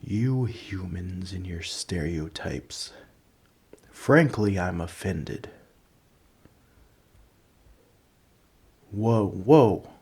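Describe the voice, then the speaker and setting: A man playing a large, ancient, nerdy dragon in an ASMR roleplay, polite and earnest but a little huffy, with a soft voice. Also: deep voice, expressive, extremely slow, slightly rough, exaggerated voice. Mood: offended, huffy. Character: large, ancient, nerdy gentle male dragon, politely indignant coin collector. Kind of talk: ASMR roleplay audio drama.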